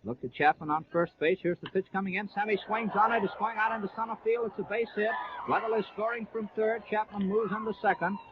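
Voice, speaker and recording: A man's voice: high-pitched, fast. An American man calling baseball play by play as a 1930s radio announcer, narrating each move in clipped present-tense phrases. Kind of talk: 1930s live sports radio commentary. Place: live at a ballpark. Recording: muffled, noisy 1930s AM radio broadcast.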